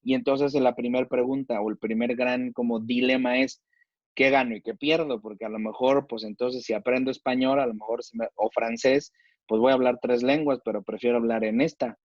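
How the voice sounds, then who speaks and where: Mexican guy arguing in a chef debate, throws lots of questions at you, mid pitch, dry dull sound. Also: fairly even intonation, a little fast, no room sound. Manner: argumentative, slangy, uses rhetorical questions. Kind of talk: casual debate.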